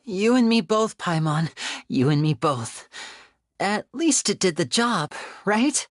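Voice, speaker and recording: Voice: medium pitch, sing-song, takes it slowly, no echo, clean studio sound. An actor playing a flustered young stage magician who stumbles over his words in a video game. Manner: hesitant, stumbling.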